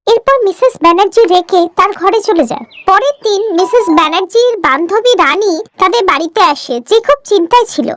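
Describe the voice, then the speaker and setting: A woman's voice: very high-pitched, expressive, a little fast, few pauses, fairly bright tone, no room sound. A woman narrating a scripted cartoon story.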